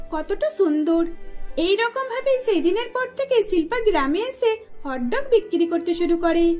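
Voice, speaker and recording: A woman's voice: extremely high pitch, a little mellow. A woman narrating a scripted cartoon story as the storyteller. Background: faint music.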